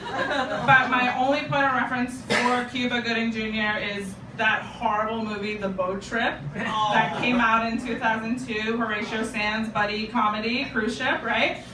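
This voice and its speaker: A woman's voice: fairly high pitch, steady tone, normal speed, background noise. A young woman from Japan, Japanese her first language, doing a chatty, self-deprecating stand-up set and bantering with a live club audience. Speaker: stand-up comedian. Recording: roomy, distant live club recording.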